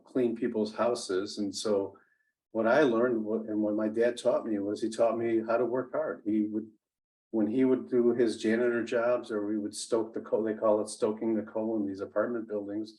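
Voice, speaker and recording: A man in his sixties with a fairly low voice tells his life story as an interview guest. Italian was his first language. He speaks haltingly, restarting sentences and leaning on fillers, and now and then he chuckles at his own remarks. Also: a little mellow, low-quality recording. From United States. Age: 60s. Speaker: interview guest, business executive. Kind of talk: conversational storytelling.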